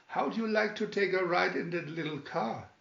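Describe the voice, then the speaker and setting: A man's voice: sits high, normal speed, gravelly, fairly bright tone, voice pushed up. A man voicing a feisty, angry young stewardess in a storybook reading. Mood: disgusted, ominous. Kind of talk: storybook read-aloud. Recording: dull, roomy home recording.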